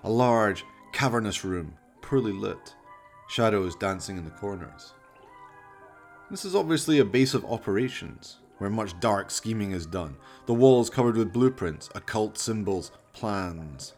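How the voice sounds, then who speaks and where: A man's voice: on the low side, expressive, darkish tone, soft background music. Man narrating a horror radio play. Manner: theatrical, deliberate storytelling. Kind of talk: scripted dramatic horror narration.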